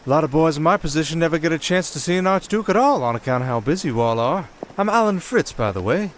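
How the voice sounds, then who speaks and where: A man playing a breezy, smooth-talking young nobleman in a fantasy audio roleplay, chatty and eager to flatter. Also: a little high, fast talker, slight background noise, lightly acted. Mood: flattering, cheerful. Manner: chatty, ingratiating.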